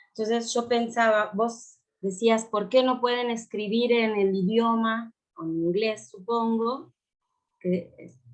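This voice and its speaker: A woman in her fifties, Italian by birth, speaks Spanish at an unhurried pace with a clear Italian accent. She muses aloud, stopping and restarting with many fillers as she searches for words. She is heard over a video call. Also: medium pitch. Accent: Italian, living in Buenos Aires. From Italy. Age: 50s. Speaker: guest writer. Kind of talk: thoughtful conversation in a writing workshop.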